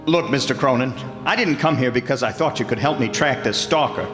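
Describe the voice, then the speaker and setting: A man's voice: mid-range voice, a little fast, natural voice. A man playing a persistent, earnest, plain-spoken detective in an old-time radio drama. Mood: insistent. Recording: echoey hall, faint music behind.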